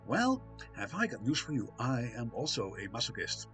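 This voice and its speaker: A man live-streaming, chatting casually with viewers, reading chat aloud with a soft, soothing voice and self-deprecating humour. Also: medium pitch, big pitch swings, normal speed, soft background music.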